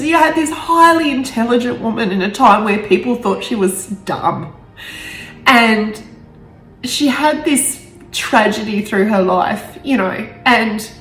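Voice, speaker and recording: A woman author speaking in a halting, emotional way, stumbling over words now and then. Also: a little high, fairly bright tone. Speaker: author reading own novel aloud. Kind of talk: amateur storybook reading with personal asides. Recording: roomy echo with faint music.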